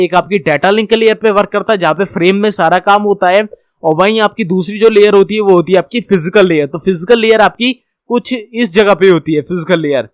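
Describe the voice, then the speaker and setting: Male tutor, notably high voice, very dry sound. Informal, explanatory teaching delivery that repeats key points. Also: clear, resonant tone, dry recording. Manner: reads points aloud, then re-explains them repetitively. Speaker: instructor. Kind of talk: informal explanatory lesson on computer networking.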